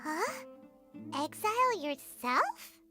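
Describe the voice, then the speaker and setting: A tiny, squeaky fairy sidekick in a video game. The voice is extremely high and childlike, swinging up and down with chirpy, outspoken naivety, over clearly audible music. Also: female voice, big pitch swings, extremely slow, heavily acted. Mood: surprised. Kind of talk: animated video game voice acting. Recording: music playing behind the voice.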